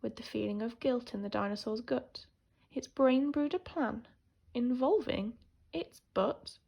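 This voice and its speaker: A woman teacher reading a children's picture book aloud in an animated, theatrical way, swinging between hushed and emphatic delivery. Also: a little high, unhurried, vocal fry, muffled recording. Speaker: primary school teacher reading a story.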